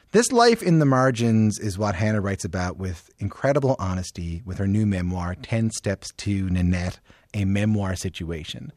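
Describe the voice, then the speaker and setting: Casting: male radio interviewer, animated and informal, voice a touch croaky and worn, recorded dry. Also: on the low side, no room sound. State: slightly croaky, losing voice. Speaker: podcast and radio show host. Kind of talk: conversational scripted introduction and interviewing.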